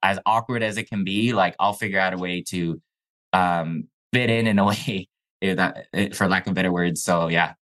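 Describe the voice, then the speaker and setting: A young man from Mexico whose first language is Chinese, telling his life story as a podcast guest in a relaxed, slangy way. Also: sits low, moderate pace. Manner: laid-back, says "bro" and "like" often. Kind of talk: casual interview storytelling.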